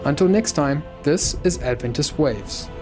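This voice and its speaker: A man's voice: a little high, animated, unhurried, over music. A man reading scripted narration as the host of a podcast.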